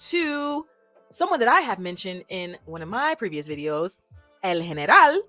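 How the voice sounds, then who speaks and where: A woman vlogging to camera about films, chatty and animated, full of playful asides and slang. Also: on the low side. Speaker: vlogger reviewing films for language learners. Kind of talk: casual chat to camera.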